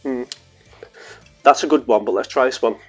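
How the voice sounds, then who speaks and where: A man's voice: medium pitch, moderate pace, a little mellow, breathy edge, faint music behind. A young man with a Northern English accent, chatting playfully to camera in a vlog, rambling with fillers and asides, on a lapel mic in a small room. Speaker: vlogger. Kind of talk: casual chat to camera. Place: small room at home, later outdoors in a park.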